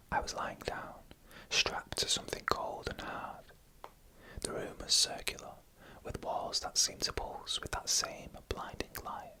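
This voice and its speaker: A narrator whispering scary stories in ASMR style, slowly and deliberately, with pauses between short phrases. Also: low-quality recording.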